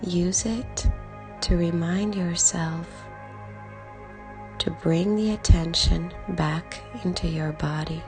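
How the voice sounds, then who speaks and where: A woman leading a guided body scan meditation in a calm, soothing way, speaking in short soft phrases with long pauses and a breathy edge. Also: lowish voice. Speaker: meditation guide, life coach. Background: background music.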